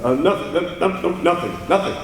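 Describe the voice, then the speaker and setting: A man's voice: a little high, animated, a little fast, creaky. A man playing an anxious, evasive reporter with a secret identity, stammering in a 1940s radio serial style. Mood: flustered. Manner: stammering, agitated. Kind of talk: 1940s radio serial acting performed live. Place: large live convention hall. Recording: echoey hall, distant mic, faint noise.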